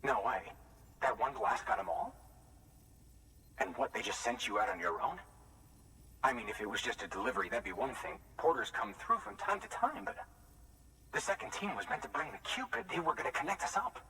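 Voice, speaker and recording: An actor playing an anxious, hopeful wasteland outpost worker in a video game cutscene, plain-spoken and halting. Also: male voice, medium pitch, very animated, vocal fry, sharp tone, recorded from a distance, slight background noise, exaggerated voice, poor audio. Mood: shocked, dismayed.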